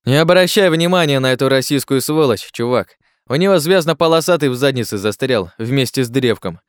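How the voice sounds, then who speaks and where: A man's voice: medium pitch, animated, a little fast, clean tone, no echo, clean studio sound, pitched above the performer's own voice, close to an everyday voice. A narrator voicing a brash, mocking young man of about twenty-five.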